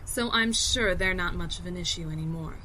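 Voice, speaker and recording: An actress playing a commanding fleet security captain in a science fiction audio drama, clipped and falsely polite. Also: female voice, fairly low pitch, a little fast, bright, forceful tone, slight background noise, low-quality recording. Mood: smug. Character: commanding fleet security captain, woman, smug and coolly menacing.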